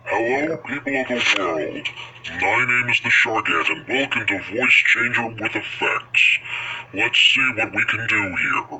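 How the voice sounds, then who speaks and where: A man's voice: lowish voice, measured pace, lo-fi sound, a crowd in the background, voice pushed down. A man voicing a scripted greeting as an altered greeter through a voice changer effect. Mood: flat greeting.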